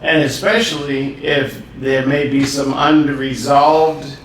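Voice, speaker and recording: A man hosting a podcast in casual chat, talking in a laid-back, playful, drawn-out way from a home studio room. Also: medium pitch, fairly even intonation. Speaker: podcast host. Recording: echoey room from far off with faint hiss.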